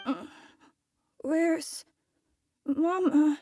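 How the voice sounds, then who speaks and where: A woman's voice: high voice, very slow, dark tone. A woman voicing a frail, confused young boy in a video game, faltering and timid. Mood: confused, tearful. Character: frail, confused young boy, son seeking his parents.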